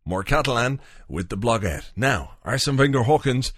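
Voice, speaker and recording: A man's voice: medium pitch, expressive, normal speed, fairly bright tone, no room sound, clean studio sound. A man hosting a podcast from home late at night, chatting loosely and rambling with lots of fillers and asides. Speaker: podcast host.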